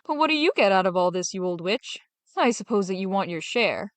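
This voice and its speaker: A woman's voice: medium pitch, animated, brisk, no echo, natural voice. A woman voicing a brash, greedy male soldier in a storybook, blunt, cocky and demanding. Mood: brisk, businesslike.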